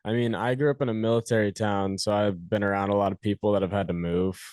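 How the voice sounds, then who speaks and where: Casting: chill male host, lower voice, loose and profane, dry close mic. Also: fairly even intonation, quick pace, darkish tone, no room sound. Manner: laid-back, swears casually, short reactions. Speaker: podcast host. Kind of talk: casual interview chat.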